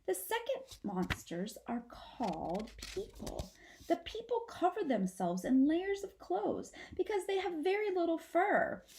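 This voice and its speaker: A woman voicing a protective mother possum, gravely and emphatically explaining dangers to her babies in a storybook reading. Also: very high-pitched, sing-song, unhurried, a little mellow, slight background noise, voice pushed up, full character voice. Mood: emphatic warning.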